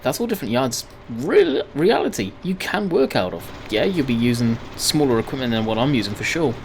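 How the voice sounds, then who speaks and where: A man with a slightly croaky voice giving rambling, chatty gameplay commentary, often correcting himself mid-sentence. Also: medium pitch, very animated, a little fast, slight background noise. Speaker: gaming video host.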